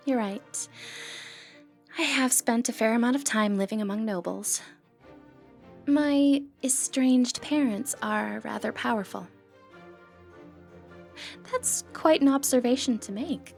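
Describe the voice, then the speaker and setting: Woman performing a confident noble-born lady thief, fantasy ASMR roleplay, highish voice, playful, genteel, flirtatious, music underneath. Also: unhurried, creaky. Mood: warm, confiding. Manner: playful, teasing, genteel old-fashioned phrasing.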